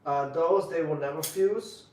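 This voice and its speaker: A man co-hosting a live bonsai demo, explaining his work in a relaxed, joking way. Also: fairly high pitch, measured pace, slight background noise. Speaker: bonsai demonstrator, co-host. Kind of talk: live-stream demo chat. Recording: echoey room, far from mic.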